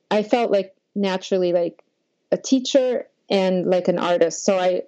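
Mellow Polish-born woman, rambling story. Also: mid-range voice, no room sound, highs cut off. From Poland. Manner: rambling, reflective, frequent "like". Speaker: guest. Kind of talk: personal life story in an interview.

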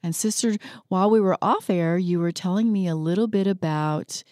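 Woman, radio host, low-ish voice, dry studio, enthusiastic. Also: moderate pace, darkish tone, no room sound. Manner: enthusiastic, upbeat interjections. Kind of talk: radio talk show.

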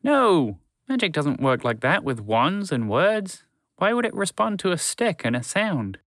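A man's voice: a little high, very animated, unhurried, fairly bright tone, no room sound, clean studio sound, voice pushed up. A man voicing a whimsical male horse who talks in a blunt, offhand way. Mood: playful. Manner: flippant, offhand.

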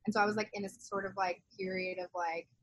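Young woman, husky edge, dry close sound, casual, meandering, lots of "like". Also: medium pitch, fairly even intonation, fast, fairly bright tone, dry recording, low-quality recording. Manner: heavy use of "like", loose and meandering. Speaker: interview guest, zine co-founder. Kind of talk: casual conversational interview.

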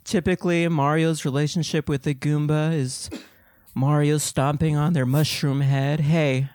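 A man voicing a lewd female mouse comedian, telling raunchy jokes and squeaking between punchlines. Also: steady tone, measured pace, a little mellow, no echo, studio quality, close to an everyday voice. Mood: cheeky. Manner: raunchy insult-comic delivery with squeaks. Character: lewd female mouse comic roasting a plumber. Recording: noisy recording.

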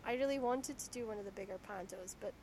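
A woman from Shetland hosting a podcast interview, chatty and self-deprecating, with a naturally squeaky voice and frequent laughter. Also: fast, darkish tone, slight background noise. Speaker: podcast host. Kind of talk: casual conversational interview.

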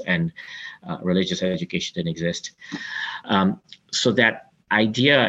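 A man in his forties from Kenya answers interview questions in a chatty, reflective way, often pausing on fillers. His voice sits very low yet comes across forceful and bright. The recording is slightly dull and lo-fi. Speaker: interview guest.

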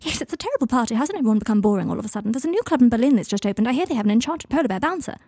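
A woman's voice: fairly high pitch, lively intonation, very fast, darkish tone, slightly rough, no echo, studio quality, pitched above the performer's own voice. A woman voicing a brittle, giddy young man on drugs who gushes theatrically in an audiobook story. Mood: brittle, gushing. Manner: theatrical, gushing, calls people darling. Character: giddy, brittle, high-pitched drugged young man, falsely gushing.

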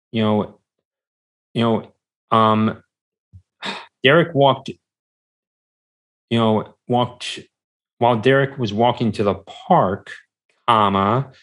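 Slow, halting man in casual conversation. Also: fairly low pitch, fairly even intonation, fairly bright tone. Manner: hesitant, halting, rambling with repeats. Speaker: podcast guest, podcast producer. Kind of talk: casual interview chat. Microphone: standard podcast microphone.

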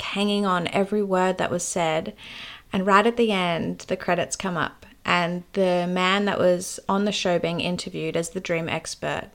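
Dream teacher, woman, earnest and reflective, slightly low voice with vocal fry. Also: fairly bright tone. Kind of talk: personal storytelling to camera.

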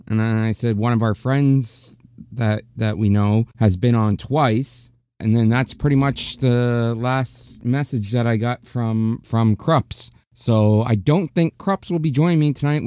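Candid, rambling man with a smooth voice. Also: medium pitch, unhurried, a little mellow, no echo, clean studio sound. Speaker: podcast host. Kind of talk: casual solo podcast monologue.

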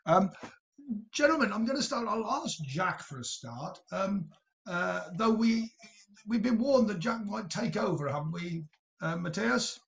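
A male podcast host with a high voice full of creak. He chats casually and haltingly, teasing his guests a little as he moves between questions. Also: animated. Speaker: podcast host, university staff interviewing former students. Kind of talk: casual interview.

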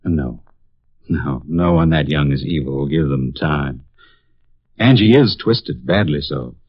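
A brooding cynical professor. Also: male voice, very deep voice, lively intonation, takes it slowly, no echo, full character voice. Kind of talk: 1950s radio drama acting. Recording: dull lo-fi 1950s radio broadcast.

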